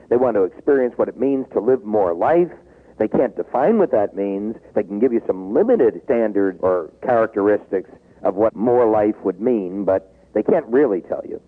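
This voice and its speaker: A man in his sixties talking in a taped interview, acting out quoted pleas and dropping low when he turns reflective. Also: husky. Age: 60s. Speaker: business author guest. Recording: tinny phone-like tape.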